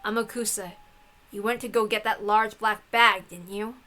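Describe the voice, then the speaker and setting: Woman acting a bright-voiced troubled young heroine. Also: mid-range voice, fairly even intonation, moderate pace, close to an everyday voice. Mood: confused.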